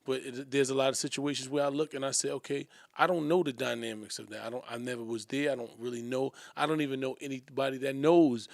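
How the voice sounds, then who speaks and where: A man co-hosting a discussion show, reflecting aloud in a measured way and often asking "right?". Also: mid-range voice, steady tone, vocal fry, no room sound, studio quality. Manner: measured, thinking aloud, tag questions. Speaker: podcast co-host.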